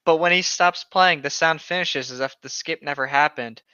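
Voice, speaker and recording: A man's voice: fairly high pitch, normal speed, pushed and bright, clear, resonant tone, no echo. A man co-hosting, reading a story aloud with playful, sarcastic asides, on a lo-fi mic. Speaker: co-host. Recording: dull lo-fi dry mic.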